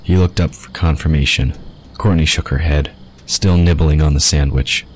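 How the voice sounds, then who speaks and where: A man's voice: very deep voice, steady tone, moderate pace, breathy edge. A man narrating a scripted fantasy audio drama. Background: ambient sound effects.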